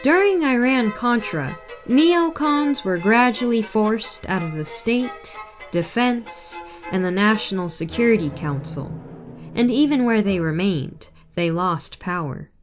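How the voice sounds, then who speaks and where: A woman reading a written political article aloud in a measured, deliberate way. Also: medium pitch, lively intonation, over music. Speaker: reader.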